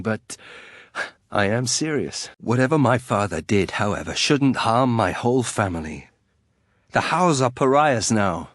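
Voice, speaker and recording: A proud, brooding young noble archer from a fantasy game, with a low, husky voice. He is terse and guarded, with a dry wry edge, recorded very close and dry. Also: dry recording. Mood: bitter. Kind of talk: video game character acting.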